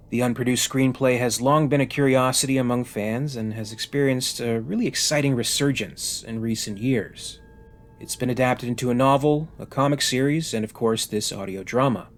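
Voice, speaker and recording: A man hosts a fan review channel, reading a scripted video essay. His voice sits at an ordinary middle pitch, and he presents in the manner of a prepared narration.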